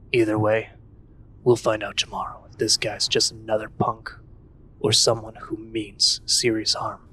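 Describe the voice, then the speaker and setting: Serious young male investigator played by a man for audio drama: dry, commanding, level delivery, forceful edge, faint background noise. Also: lowish voice, rough recording. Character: Simon, serious young man leading a paranormal investigation team.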